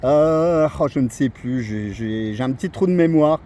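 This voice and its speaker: Hesitant middle-aged man, soft mellow voice. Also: medium pitch, expressive, normal speed, a little hiss or hum. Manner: hesitant, searching for words. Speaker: amateur CB radio operator. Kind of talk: casual radio chat.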